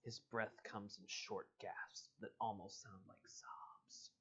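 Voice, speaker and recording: A man's voice: lowish voice, level tone, takes it slowly, sharp tone, husky, whispered. Lo-fi recording of a man reading a storybook. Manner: informal, bantering with co-host and viewers. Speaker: host reading an interactive adventure book aloud. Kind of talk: storybook read-aloud mixed with casual livestream chat. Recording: dull lo-fi livestream audio.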